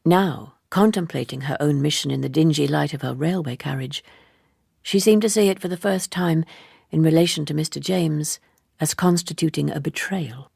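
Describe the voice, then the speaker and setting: A woman reads literary fiction in a low voice with a gravelly edge. Her phrasing is measured and deliberate, yet expressive. The recording is close and dry. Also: no room sound. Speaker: audiobook narrator. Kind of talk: literary audiobook narration.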